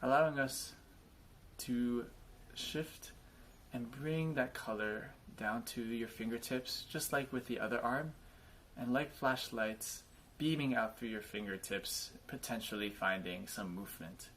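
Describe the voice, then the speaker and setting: A man leading a guided body scan meditation, speaking in gentle invitations with frequent pauses. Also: mid-range voice, slight background noise.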